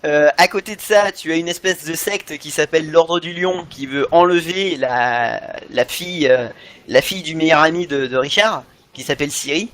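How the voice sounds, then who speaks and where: A man's voice: fairly high pitch, normal speed, a bit bright. A man talks in a casual podcast discussion. He explains at length and often circles back over his own phrases. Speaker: podcast panelist.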